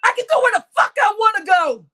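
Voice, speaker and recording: A woman livestreamer, crying as she rants at her chat, shouting with heavy swearing and repeating her outbursts. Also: very high-pitched, lively intonation, fast. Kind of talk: angry livestream rant to chat.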